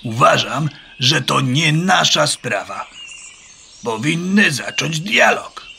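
A pompous, skeptical farmyard bird in a children's audio play. The voice is gravelly and sharp, drawn out with fussy dryness, over faint noise. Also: male voice, on the high side, animated, slow pace, full character voice.

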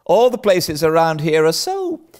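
A man's voice: on the high side, wildly swooping pitch, unhurried, darkish tone, pitched above the performer's own voice. A man voicing an eager, imaginative young girl in a storybook, breathless, pleading and melodramatic. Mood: dramatic, fearful. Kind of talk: storybook character reading.

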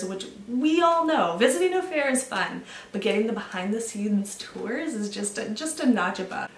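Upbeat woman talking to camera in an echoey room. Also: medium pitch. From Canada. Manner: enthusiastic, off-the-cuff listing. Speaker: association representative. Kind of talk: upbeat promotional to-camera talk. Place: small indoor room with brick wall. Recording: roomy echo, slightly distant from mic.

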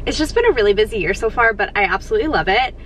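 A young woman in her twenties chatting to camera from a parked car, sounding congested from a cold and gushing with excitement. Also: mid-range voice, very fast, background noise. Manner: gushing, upbeat, lots of "like" and "so excited". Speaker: lifestyle vlogger. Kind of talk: casual chat to camera. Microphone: camera on the dashboard.

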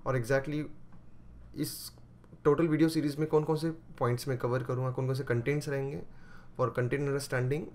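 A man's voice: mid-range voice, darkish tone. A man with an Indian accent giving an explanatory video lecture, switching between languages and repeating phrases as he goes through slides.

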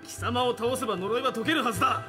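A man playing a brash, hot-headed vigilante fighter in an anime audio drama, full of rough heroic bluster. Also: very high voice, fast talker. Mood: defiant, angry. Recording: loud music under the voice.

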